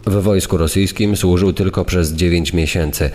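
A man reading old testimony and press reports gravely for a true-crime story, in long reverb. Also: sits low, steady tone, moderate pace, a little mellow, lightly acted. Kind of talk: dramatised reading of archive quotes and press reports. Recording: long reverb, faint music.

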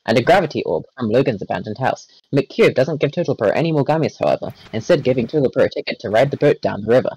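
A man's voice: mid-range voice, darkish tone, breathy edge, no room sound, poor audio. Man reading aloud in a voice chat. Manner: reads aloud with stumbles, self-corrections and laughs. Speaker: reader in a group voice chat.

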